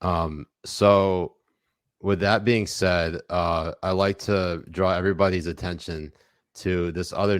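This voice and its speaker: A man's voice: deep voice, no room sound, clean studio sound. A man co-hosting a podcast, chatting casually about politics with sarcastic, mock-serious remarks. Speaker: podcast co-host.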